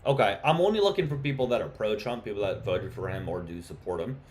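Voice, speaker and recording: A man with a lowish voice runs a casual live stream, greeting viewers and taking callers. He talks in an easy, chatty way but gets heated and insistent when he argues. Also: lively intonation, quick pace, a little mellow, a little hiss or hum. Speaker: livestream host.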